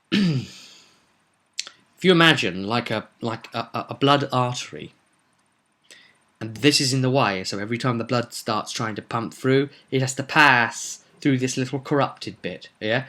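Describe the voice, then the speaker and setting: A man's voice: medium pitch, measured pace, long pauses, bright, forceful tone. A man giving an informal spoken recap as game master of a tabletop roleplay, explaining events with emphasis like a storyteller.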